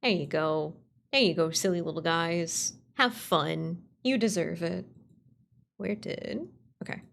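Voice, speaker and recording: A woman's voice: fairly low pitch, unhurried, gravelly, fairly bright tone, a little hiss or hum. A woman livestreamer chatting casually and playfully with viewers, rambling and swearing as she goes. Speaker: streamer, writer and voice actor.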